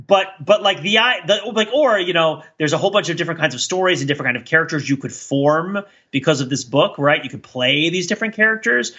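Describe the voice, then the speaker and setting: A man co-hosting a podcast in casual, reflective discussion, thinking aloud in a halting, rambling way with repeated words. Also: a little high, sharp tone, highs cut off. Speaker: podcast co-host.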